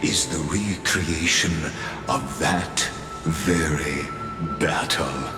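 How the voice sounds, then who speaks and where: Very slow, deep sardonic mastermind. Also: male voice, full character voice. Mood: grave, scornful. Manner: slow, theatrical, mocking. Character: sardonic, menacing male mastermind narrating past cycles. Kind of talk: dramatic scripted game narration. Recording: long hall reverb, loud music behind.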